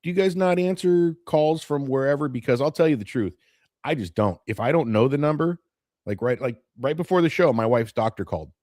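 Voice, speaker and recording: A man's voice: mid-range voice, animated, fairly quick, darkish tone, no echo, clean studio sound. Chatty man in his late forties. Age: 40s. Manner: chatty, nostalgic, wanders off topic. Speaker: host of a morning live stream. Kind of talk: casual rambling chat to viewers.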